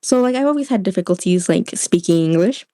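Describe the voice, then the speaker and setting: A woman's voice: mid-range voice, animated, a little fast, warm tone, dry recording, clean studio sound. A young woman from Utah whose first language is Spanish, chatting casually on a podcast and filling her speech with "like". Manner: fills speech with "like". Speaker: podcast co-host. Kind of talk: casual chat.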